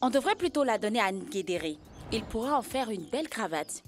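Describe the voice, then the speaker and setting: A woman voicing a playful, competitive child in a French-dubbed educational cartoon, expressive and exclaiming. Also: a little high, normal speed, faint music behind. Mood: thoughtful.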